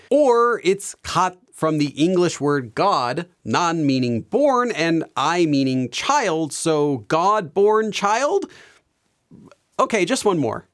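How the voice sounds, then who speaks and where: A man teaching Korean on his video channel, explaining things clearly and laughing often. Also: on the high side. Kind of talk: educational video commentary.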